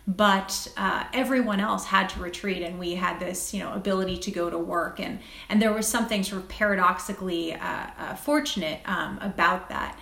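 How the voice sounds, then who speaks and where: A woman speaking as a physician guest in an interview, thoughtful and reflective, often halting and repeating phrases as she finds her words. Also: on the low side, fairly even intonation, gravelly, sharp tone. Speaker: guest, critical care physician. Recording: echoey, roomy sound.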